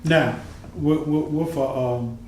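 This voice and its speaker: A man's voice: on the high side, takes it slowly, darkish tone. A man hosting a talk show, chatting loosely with fillers and restarts as he introduces and questions his guest. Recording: echoey room, distant mic, faint noise.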